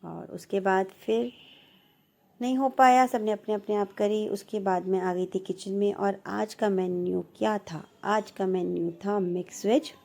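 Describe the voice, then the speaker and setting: A woman in her thirties from India, narrating her home vlog in a chatty, relaxed way, her throat slightly hoarse. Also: medium pitch, measured pace. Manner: chatty, relaxed, self-teasing. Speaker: vlogger. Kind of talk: casual voice-over narration.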